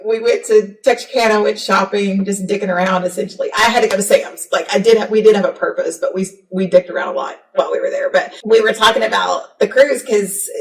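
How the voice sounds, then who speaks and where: A woman's voice: medium pitch, a little fast, gravelly, few pauses. Frank, candid woman in an echoey room. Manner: frank, candid, swears casually. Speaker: podcast host, therapist. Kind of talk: casual chat. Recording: roomy, distant from the mic.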